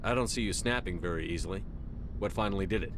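An actor voices a steady military commander, a man in his thirties with a lowish voice. His delivery is firm, controlled and economical, with a clear engine hum behind it. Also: quick pace, natural voice. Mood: calm, probing. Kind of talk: video game dialogue acting.

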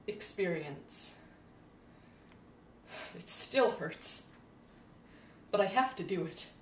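A woman playing a haunted, embittered young wizard turned killer in a dramatic monologue, intense and halting, swinging between hush and outcry. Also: medium pitch. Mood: pained, strained. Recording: echoey room, distant mic, faint noise.

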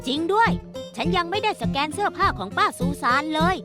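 A woman's voice: extremely high pitch, lively intonation, brisk. A woman voicing a cheerful robot police car in a dubbed children's cartoon, tagging a beeping catchphrase onto lines. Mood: determined. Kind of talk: Thai cartoon dubbing. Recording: loud children's music behind.

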